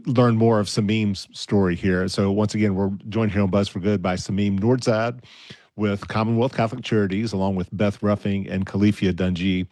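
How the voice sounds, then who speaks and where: A man's voice: fairly low pitch, fairly even intonation, moderate pace, a little mellow, no room sound. A man hosting a radio interview show, asking questions in an encouraging way, with some hesitant restarts. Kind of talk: interviewing a guest.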